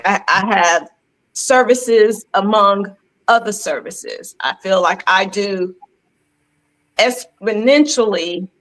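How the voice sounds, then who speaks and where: A woman's voice: medium pitch, fairly bright tone, poor audio. A woman in her fifties with a New York accent, a boutique owner speaking earnestly over a video call, repeating affirmations as she makes her case. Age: 50s. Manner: slow, earnest, affirming repetition. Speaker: resale boutique owner, award finalist. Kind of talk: virtual meet and greet interview.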